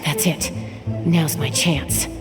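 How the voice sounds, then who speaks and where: A woman's voice: sits low, steady tone, slow pace. A woman playing a cool, watchful, decisive young woman in a video game. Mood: focused, calm. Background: game music.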